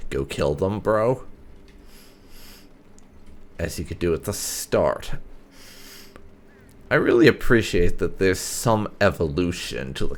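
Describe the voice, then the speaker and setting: A man doing casual playthrough commentary as a gaming host, musing aloud in an unhurried way as he plays. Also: low voice, slight background noise.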